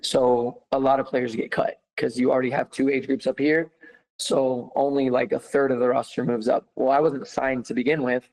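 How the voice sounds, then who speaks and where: Young american man, casual storyteller, chatty, filler-heavy, mid-pitched voice. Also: normal speed, poor audio. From United States. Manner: animated, many fillers, laughs easily. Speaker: guest, former footballer.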